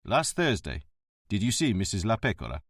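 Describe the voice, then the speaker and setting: A man's voice: fairly low pitch, no echo. A man playing a shrewd, wry police chief. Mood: measured. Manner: calm, dry and measured.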